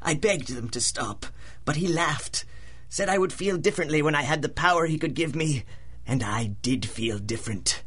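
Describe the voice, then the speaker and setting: An actor playing a vengeful, grandiose supervillain in a radio drama, speaking with theatrical, deliberate menace in a husky voice. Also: male voice, fairly high pitch, lively intonation, fairly bright tone. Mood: bitter, haunted. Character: vengeful male supervillain, grandiose, bitter, unhinged. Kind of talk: 1930s-style radio drama acting.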